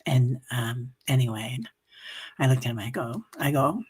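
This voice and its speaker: Husky, very low-voiced woman chatting. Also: a little slow, a little mellow. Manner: chatty, rambling, many fillers. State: coughing, a bit hoarse. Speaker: livestream meditation host. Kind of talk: casual chat reading viewer comments. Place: home livestream.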